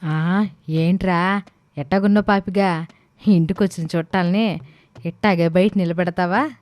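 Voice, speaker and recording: Woman acting a bossy, low-voiced village aunt. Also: steady tone, a little slow, darkish tone, no room sound, close to an everyday voice. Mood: familiar, breezy. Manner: colloquial, scolding, preachy. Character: bossy, sensible older village aunt, female. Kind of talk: animated moral-story dialogue.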